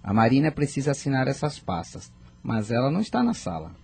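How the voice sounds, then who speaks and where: An actor playing a polite, lovelorn young widower in a radio soap opera. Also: male voice, medium pitch, wildly swooping pitch, fairly quick, gravelly, darkish tone, breathy edge, faint music behind, exaggerated voice. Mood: friendly.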